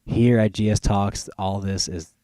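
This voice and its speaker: A man's voice: lowish voice, fairly even intonation, unhurried, mellow. A man hosting a podcast in casual, informal banter, as if chatting with a buddy. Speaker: podcast host. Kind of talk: casual chat. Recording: roomy echo, faint music.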